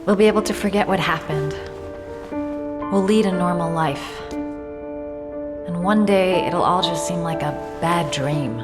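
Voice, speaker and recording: A woman playing a soft-spoken, tender young woman. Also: on the low side, measured pace, long pauses, loud music behind the voice, natural voice. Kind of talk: game cutscene acting. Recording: long hall reverb.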